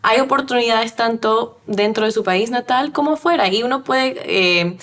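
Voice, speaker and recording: A woman's voice: a little high, normal speed, fairly bright tone. A woman who is not a native speaker hosts an interview podcast, friendly and encouraging, often giving short affirming noises. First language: not Spanish. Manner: friendly, encouraging, with frequent backchannel "ujú". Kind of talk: casual interview conversation.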